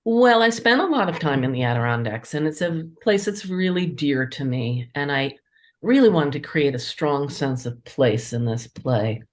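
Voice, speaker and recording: A woman with a distinctly low-pitched voice gives conversational answers in an interview. She speaks as a writer about her work, with an appreciative, enthusiastic manner. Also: big pitch swings, moderate pace. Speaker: interviewed playwright and editor.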